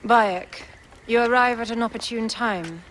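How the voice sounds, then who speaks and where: A woman's voice: a little high, unhurried, a bit bright, slight background noise. An actress playing a proud, commanding young Egyptian queen in a cinematic game. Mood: commanding, calm. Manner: commanding, regal.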